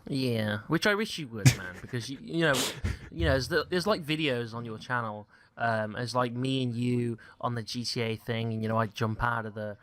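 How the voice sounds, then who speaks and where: A man hosting a casual podcast, relaxed and full of fillers, reading out listener questions. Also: medium pitch, moderate pace, hardly any pauses. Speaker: podcast host. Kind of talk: casual chat.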